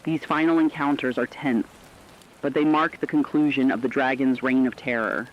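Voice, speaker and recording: A man's voice: mid-range voice, steady tone, close to an everyday voice. Earnest male radio co-anchor, muffled sound, acted. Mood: grave. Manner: earnest, measured, grave. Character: earnest radio news co-anchor reporting after apocalypse. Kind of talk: scripted live radio news narration. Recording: dull lo-fi sound with faint noise.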